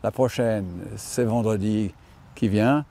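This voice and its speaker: An older man who owns and breeds horses, answering interview questions with many pauses and restarts. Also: fairly low pitch, fairly even intonation, dark tone. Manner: hesitant, many fillers and restarts. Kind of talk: interview reply.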